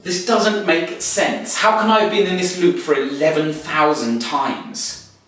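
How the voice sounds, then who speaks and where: A man's voice: on the high side, steady tone, a little slow, fairly bright tone, slight background noise. An actor playing a confused, stubborn amnesiac man trapped in a loop, questioning everything with exasperation in a stage play. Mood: confused, exasperated. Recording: very echoey room, distant mic, faint music.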